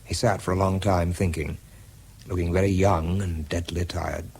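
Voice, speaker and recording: Radio drama lead: British wartime bomb expert, low-pitched, self-deprecating, understated, narrating and in dialogue. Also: male voice, level tone, normal speed, vocal fry, a little mellow, breathy edge, exaggerated voice. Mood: reflective.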